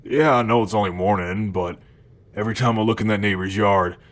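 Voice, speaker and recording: Man acting as a cocky male demon, lowish voice, casual slacker drawl, playful, hungry, ASMR roleplay. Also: fairly quick, close to an everyday voice. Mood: teasing temptation. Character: cocky, easygoing male demon of gluttony, playful and food-obsessed. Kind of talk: ASMR roleplay addressed to the listener.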